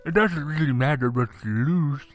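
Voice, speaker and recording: Man doing a high-pitched, flippant horror host. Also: moderate pace, faint music behind, natural voice. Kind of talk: horror comic audio drama.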